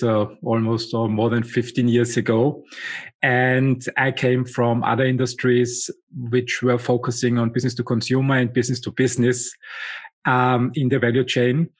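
A man hosting a podcast interview, speaking in a measured, hesitant way with many fillers. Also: mid-range voice. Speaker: podcast host.

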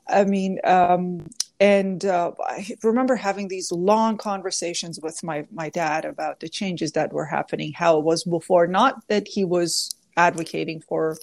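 A woman's voice: on the low side, fairly even intonation, a little slow, creaky, darkish tone, dry recording. A woman born in Iran, a guest scholar in a podcast interview, answering thoughtfully and often correcting herself. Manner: thoughtful, self-correcting, many fillers.

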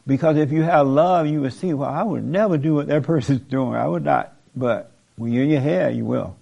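A man's voice: a little high, fairly quick, creaky, dark tone. A man hosting a talk show, preaching in an emphatic, repetitive way with the odd joke and laugh. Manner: emphatic, repeats key lines, jokes and laughs. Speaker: host of a call-in talk show. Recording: dull lo-fi audio.

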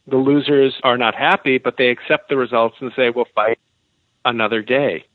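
An American man with a mid-pitched voice gives explanatory answers as an expert interview guest. The sound is dry and a little dull, like a lo-fi radio feed. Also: level tone, moderate pace, no room sound. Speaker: expert guest, law professor and author.

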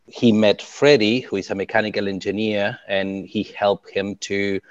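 Uruguayan man, low voice, dull dry audio. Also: little pitch movement, moderate pace, no room sound. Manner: hesitant, many fillers and restarts. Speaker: climate tech startup co-founder. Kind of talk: interview answers.

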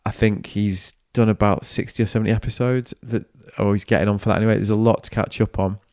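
A man's voice: fairly low pitch, little pitch movement, quick pace, a little mellow, no echo, clean studio sound. A man hosting a podcast, chatty and upbeat, trading banter and wrapping up the episode on his own. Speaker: podcast host. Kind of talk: casual chat and solo wrap-up.

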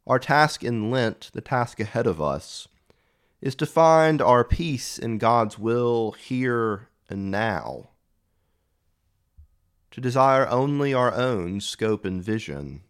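A man giving a reflective sermon as a preacher, speaking deliberately in short, measured phrases. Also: medium pitch.

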